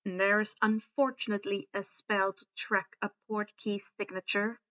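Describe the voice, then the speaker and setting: A woman's voice: medium pitch, flat delivery, a little slow, bright, forceful tone, muffled recording. A woman voicing a sullen, sneering male wizard professor in a fantasy story reading. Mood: ashamed. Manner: sneering, curt.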